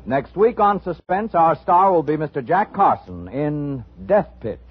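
A man's voice: fairly high pitch, animated, a little slow, soft background music. An American man making dramatic announcements as the host of a 1950s radio show. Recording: muffled vintage radio recording.

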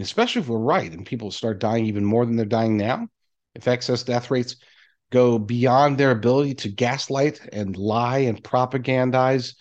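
A man's voice: mid-range voice, a little mellow. A man hosting a podcast, talking to his audience in a conversational, emphatic monologue. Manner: conversational, emphatic, voicing imagined replies. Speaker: podcast host.

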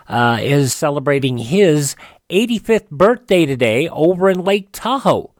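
Male radio host, slightly high voice, announcer-style almanac read, stresses names, close and dry studio mic. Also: no echo. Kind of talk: scripted radio almanac narration.

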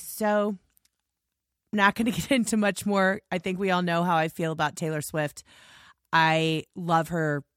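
Woman podcast host, voice scratchy and hoarse from losing it, chatty and effusive, dry close sound. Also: low voice, dry recording. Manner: gushing, rambling with restarts. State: hoarse, lost voice. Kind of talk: casual podcast monologue.

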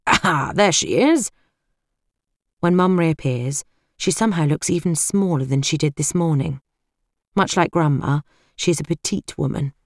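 Low-pitched British woman narrating, dry studio sound. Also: a little mellow, no room sound. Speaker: audiobook narrator. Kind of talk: scripted first-person fiction narration.

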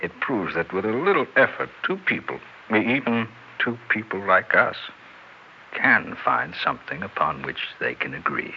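An actor playing a suave, sardonic, tipsy husband and cold-blooded killer in a theatrical 1960s radio drama. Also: male voice, fairly low pitch, very animated, gravelly, slight background noise. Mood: gloating, sardonic. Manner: theatrical, mock-tender, deliberate. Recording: muffled vintage radio recording with music.

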